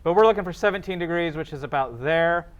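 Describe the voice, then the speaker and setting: Clear-voiced man teaching, thinking aloud. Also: fairly high pitch, fast, lo-fi sound. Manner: thinks aloud while working, checks in with students. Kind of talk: informal classroom lecture working through calculations.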